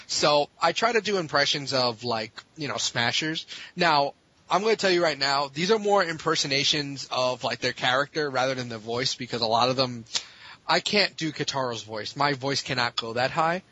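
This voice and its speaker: A man's voice: medium pitch, moderate pace. A man chatting casually and jokingly as a podcast guest, on a dull lo-fi recording. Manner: animated, joking. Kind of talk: casual chat. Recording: dull lo-fi voice chat.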